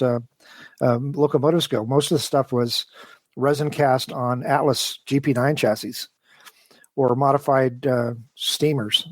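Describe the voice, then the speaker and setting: A man being interviewed, talking casually and at length in a rambling, halting, detailed way as a guest engineer. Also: medium pitch, dry recording. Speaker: interview guest, model train design engineer.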